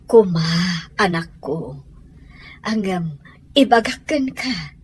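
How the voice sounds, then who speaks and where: A woman playing a gentle, reassuring older mother in an audio drama. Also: medium pitch, animated, slow pace, husky, heavily acted. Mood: calm, affectionate. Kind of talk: Ilocano audio drama acting.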